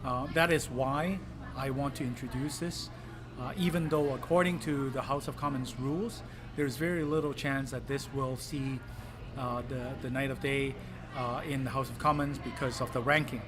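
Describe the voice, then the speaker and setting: A man from Hong Kong, a politician giving earnest interview answers with many pauses and fillers. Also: mid-range voice, fairly even intonation. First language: Cantonese. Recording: clip with noisy ambience.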